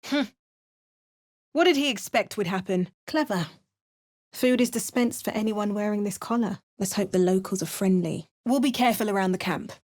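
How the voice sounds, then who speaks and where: A woman's voice: medium pitch, moderate pace, a little mellow, close to an everyday voice. Actress as a young woman adventurer for a fantasy game, dry wit, wry remarks. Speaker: player character adventurer. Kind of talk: game voice lines.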